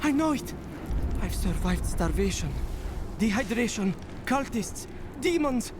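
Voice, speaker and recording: A man's voice: sits high, animated, measured pace. A man voicing an obsessed, desperate relic hunter in a fantasy video game, fervent and dramatic. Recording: clear noise and ambience behind.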